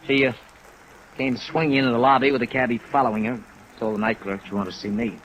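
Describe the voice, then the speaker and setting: A man's voice: medium pitch, fairly even intonation, quick pace, a bit bright, lightly acted, poor audio. A man acting as a chagrined, middle-aged veteran hotel operator in a 1950s radio drama, hesitant and self-mocking. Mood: sheepish recounting. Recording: vintage radio recording under rain noise.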